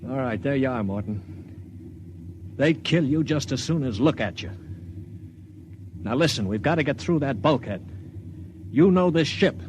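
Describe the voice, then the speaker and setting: An actor playing a resolute, urgent spaceship mutineer who takes command, in a 1950s radio drama. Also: male voice, medium pitch, lively intonation, moderate pace, pauses often, natural voice. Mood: pressing. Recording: noisy 1950s radio recording.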